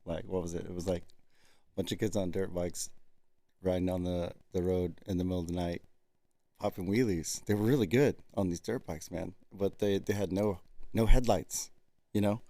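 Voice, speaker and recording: A man's voice: low-pitched, steady tone, normal speed, dark tone, dry recording. An easygoing man co-hosting a show in casual chat, who laughs often and explains things. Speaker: podcast co-host.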